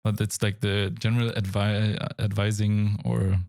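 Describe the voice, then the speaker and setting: A man's voice: on the low side, little pitch movement, unhurried, vocal fry, darkish tone, no echo, studio quality. A young German man with a German accent, co-hosting a casual games podcast in a thoughtful, searching way. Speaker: podcast co-host. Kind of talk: casual chat.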